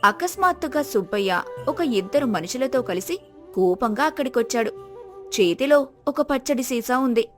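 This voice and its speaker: A woman's voice: sits high, animated, moderate pace, soft background music. A woman narrating a scripted moral story as a storyteller.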